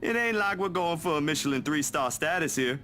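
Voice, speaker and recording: A man's voice: on the high side, animated, a little fast, bright, forceful tone, soft background music. An actor playing an easygoing, caring young man in a visual novel, talking casually and teasing a friend in a folksy way. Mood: playful, teasing.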